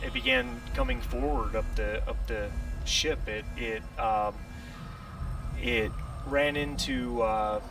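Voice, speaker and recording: A man's voice: mid-range voice, expressive, creaky. Man acting a stammering, shaken spaceship survivor. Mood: shaken, halting. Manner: halting, stammering, repeats himself. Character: shaken male ship survivor recounting a killing. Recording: noisy room, distant mic.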